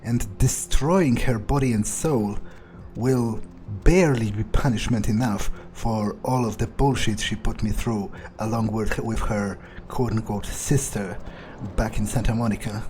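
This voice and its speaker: Man playing a brooding, power-hungry vampire, slow and deliberate. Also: on the low side, fairly even intonation, darkish tone, slight background noise, lightly acted. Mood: brooding, scheming. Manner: brooding, deliberate, grandiose, with trailing pauses. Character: power-hungry, menacing male vampire, musing coldly on revenge. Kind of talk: in-character game playthrough monologue.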